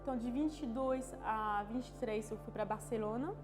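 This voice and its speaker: High-voiced woman. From France. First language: French. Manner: halting, searching for words, frequent restarts and tag questions. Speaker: interviewee. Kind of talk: personal testimony interview. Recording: long reverb with music underneath.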